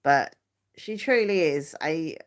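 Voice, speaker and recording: A woman's voice: low voice, expressive, takes it slowly. Chatty woman in her forties. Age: 40s. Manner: chatty, laughs often, trails off mid-sentence. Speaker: livestream host. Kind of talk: casual chat.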